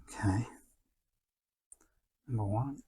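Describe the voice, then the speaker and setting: A man talks softly through a hands-on ASMR demonstration. His voice is husky and relaxed, with a dry, close sound. He murmurs casually as he works, sometimes dropping almost to a whisper. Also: fairly low pitch, slow, no room sound. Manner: quiet, murmured talk to himself while working, at times near whispering. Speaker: presenter of a restoration demonstration.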